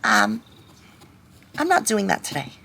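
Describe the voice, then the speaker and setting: A woman voicing a nasal suburban cartoon mom who is nervous and edgy, chatting haltingly as if hosting a craft vlog. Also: medium pitch, big pitch swings, vocal fry, pushed and bright, a little hiss or hum, heavily acted. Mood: chatty, assertive. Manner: halting, chatty, rambling with salty asides. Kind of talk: parody craft vlog in character.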